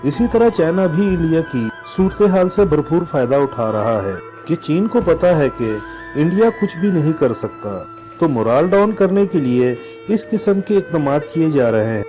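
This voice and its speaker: Animated Pakistani man, higher-pitched voice. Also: normal speed. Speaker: news channel host. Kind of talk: scripted news commentary over video. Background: music bed.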